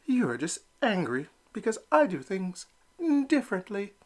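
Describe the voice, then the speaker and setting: A man's voice: high-pitched, very animated, a little slow, pitched above the performer's own voice, heavily acted. A man voicing a cheerful, artsy young boy duckling in a storybook, bouncy and cheeky. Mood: chirpy.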